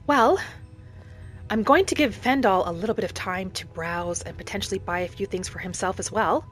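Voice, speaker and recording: A woman voicing a gentle, curious wood elf traveler, musing in first person as she narrates a cozy game roleplay. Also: mid-range voice, moderate pace, lightly acted. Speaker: gamer narrating a roleplay as her character. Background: game music behind the voice.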